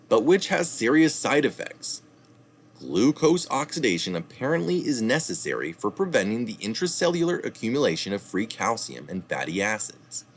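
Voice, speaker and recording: A man reading a health article aloud, pausing to add citation asides and correct his own slips. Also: on the low side, slight background noise. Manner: reads steadily, adding asides and correcting slips.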